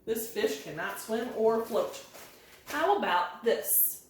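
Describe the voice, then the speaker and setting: A woman's voice: fairly high pitch, expressive, takes it slowly, slight background noise. A woman leading an interactive children's storytime, speaking in a sing-song, encouraging way and putting questions to young listeners. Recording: very echoey, roomy sound.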